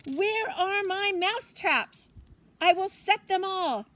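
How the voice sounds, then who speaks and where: A cheerful, forgetful Mexican grandmother from a children's storybook, played by a woman reading aloud. Her voice sits high and she takes her words slowly, musing to herself and exclaiming, with bits of Spanish mixed in. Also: expressive, a bit bright, full character voice. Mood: startled, puzzled. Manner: exclaims, muses aloud, mixes in Spanish phrases.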